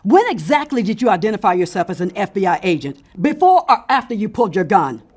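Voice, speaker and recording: A woman playing a challenging, indignant neighbour with a husky voice in an audio drama. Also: mid-range voice, big pitch swings, fast talker. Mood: indignant, shaken. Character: indignant nosy female neighbour.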